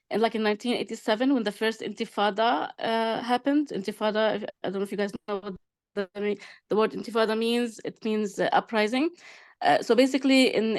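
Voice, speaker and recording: A middle-aged woman from Palestine, a native Arabic speaker with a Palestinian accent, speaking informally and emphatically in a group discussion, explaining as she goes. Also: a little high. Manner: emphatic, explanatory, repeating and checking with listeners. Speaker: discussion participant.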